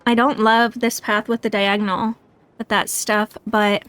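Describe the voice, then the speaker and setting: Higher-pitched woman musing aloud on stream. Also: little pitch movement, measured pace, fairly bright tone. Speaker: game streamer. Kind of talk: casual live gaming chat, thinking aloud. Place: indoors, livestream.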